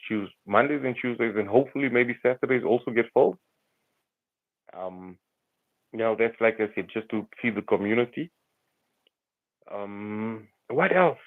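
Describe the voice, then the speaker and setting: A South African man chatting casually to livestream viewers, earnest and rambling. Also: fairly low pitch. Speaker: livestreamer and community volunteer. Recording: tinny phone-like livestream audio.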